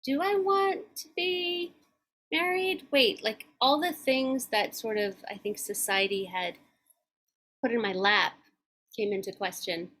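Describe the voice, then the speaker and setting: A woman's voice: fairly high pitch, a bit bright. A woman in her forties co-hosting a podcast, talking reflectively and earnestly in casual chat. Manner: reflective, earnest, speaks in measured thoughts. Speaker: podcast co-host.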